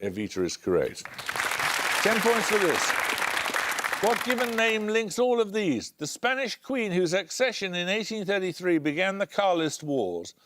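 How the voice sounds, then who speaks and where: A man hosting a television quiz show, reading introductions and questions in a measured, precise way. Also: high-pitched, very animated, fairly bright tone, a faint crowd. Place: television studio.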